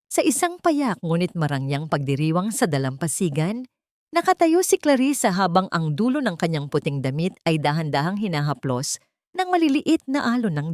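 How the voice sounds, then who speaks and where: A woman with a Filipino accent reads a scripted dramatic story as narrator, in a measured, solemn and sentimental delivery. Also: on the low side, darkish tone, dry recording, clean studio sound.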